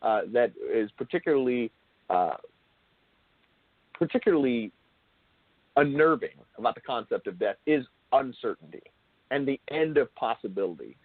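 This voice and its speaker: A man hosting a conversational interview, halting as he circles back and repeats his phrases. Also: mid-range voice, lively intonation, dry recording, phone-quality sound. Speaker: show host and interviewer.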